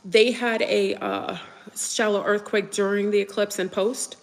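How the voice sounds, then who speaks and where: A woman's voice: medium pitch, steady tone, a little slow, gravelly, in a large hall. A woman whose first language is French, chatting casually and rambling with viewers on a livestream, full of fillers and restarts. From United States. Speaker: livestream host.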